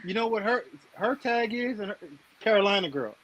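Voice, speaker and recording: A man's voice: sits high, expressive, normal speed, fairly bright tone, rough recording. A man from Jamaica co-hosting a casual talk show, emphatic and often stammering as he starts a point. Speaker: co-host. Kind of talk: casual chat.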